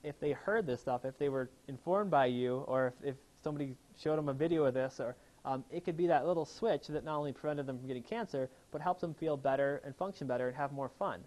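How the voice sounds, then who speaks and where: A man's voice: medium pitch, fast talker, hardly any pauses, a little mellow, rough recording. A chiropractor giving an enthusiastic health seminar, asking his audience questions as he talks. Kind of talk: live seminar talk to an audience. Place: room with a live audience.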